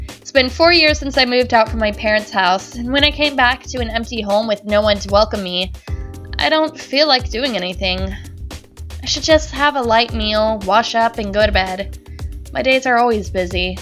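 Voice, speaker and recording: A woman with a Southern American accent reading the narration of a lonely young female college senior searching for her dream, in a quiet, reflective way. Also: on the high side, normal speed, soft background music, close to an everyday voice. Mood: weary. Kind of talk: reading game text aloud.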